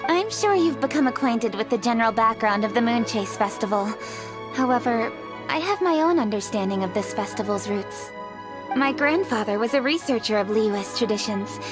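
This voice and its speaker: A woman voicing an earnest, scholarly young official in a video game, speaking briskly and clearly. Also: a little high, expressive, over music, lightly acted.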